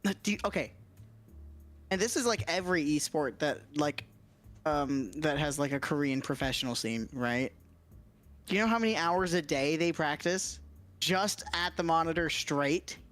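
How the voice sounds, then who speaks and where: A man with a fairly high voice chats casually as a guest on a livestream. He explains things in loose, hesitant phrases, with faint music behind him. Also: lively intonation, moderate pace, fairly bright tone.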